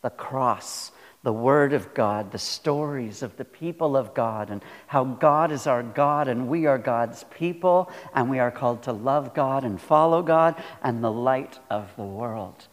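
A man preaching a sermon as a pastor, earnest and deliberate, repeating phrases for emphasis. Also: medium pitch. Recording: long hall reverb.

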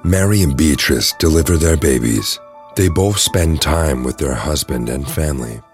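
A man's voice: a bass voice, animated, moderate pace. A man reading scripted narration for an audio drama. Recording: music under the voice. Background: music.

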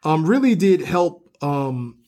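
A man's voice: fairly high pitch, very animated, slow, a little mellow. A man speaking as a professor and co-host, explaining literature in a scholarly way and often posing rhetorical questions.

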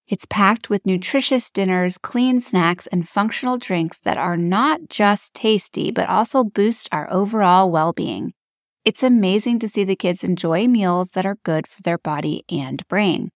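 A woman's voice: mid-range voice, no echo, studio quality. A woman podcast host delivering a scripted, promotional sponsor read in a personal testimonial style.

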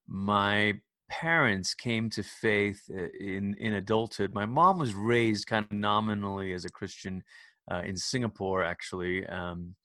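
A man's voice: fairly low pitch, steady tone, unhurried, sharp tone, no echo, clean studio sound. A man from Malaysia, a church leader, talking casually and reflectively as an interview guest on a video call, often adding "you know".

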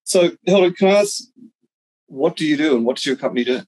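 A man's voice: on the high side, lively intonation, fast, darkish tone, breathy edge, rough recording. A man hosting a business podcast, asking questions hesitantly with many ums. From Australia. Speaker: podcast host. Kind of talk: interview questions.

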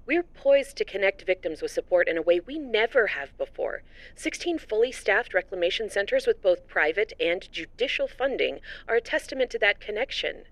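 An actress playing an assured, idealistic politician in an audio drama, delivering a formal speech in a measured, oratorical way. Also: female voice, medium pitch, very animated, dry recording. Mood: confident, resolute. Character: assured, idealistic female politician giving an address.

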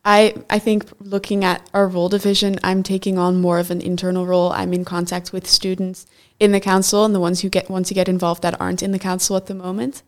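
Even-toned teenage Dutch girl. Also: on the low side, fast talker, few pauses. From Netherlands. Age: teens. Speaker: student committee chair, interview guest. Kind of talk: interview answers.

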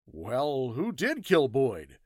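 Man acting incredulous reporter, animated voice. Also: mid-range voice, takes it slowly, no echo, clean studio sound, pitched above the performer's own voice, full character voice. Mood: amazed. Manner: questioning, incredulous. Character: incredulous, curious male newspaper reporter.

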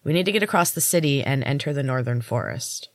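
A woman's voice: extremely low pitch, expressive, fast, no echo, clean studio sound. A woman voicing a wry, knowing, strange rebel woman in a storybook reading. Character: strange older rebel woman, amused, smoking, guiding a newcomer.

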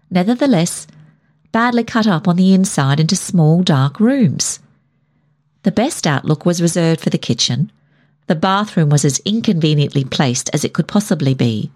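A woman reading a short story as scripted narration, in a measured storytelling manner. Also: fairly low pitch, a little mellow. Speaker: story reader.

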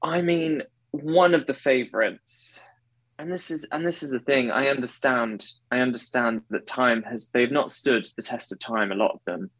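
A man in his thirties from England with a baritone voice, a guest talking earnestly about his training, often correcting himself mid-sentence. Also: normal speed, fairly bright tone, dry recording. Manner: earnest, self-correcting, effusive. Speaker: guest, actor and singer. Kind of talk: conversational interview.

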